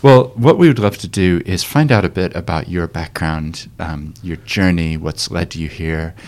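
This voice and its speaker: Podcast host, man, very low voice, friendly and encouraging interviewer. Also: moderate pace, darkish tone. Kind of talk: casual interview conversation.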